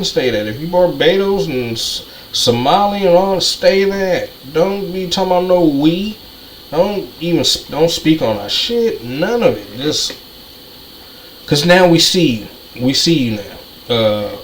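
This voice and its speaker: A man's voice: a little high, poor audio. Ranting man with faint noise behind. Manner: ranting, repetitive, profane. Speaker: commentator. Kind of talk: heated monologue.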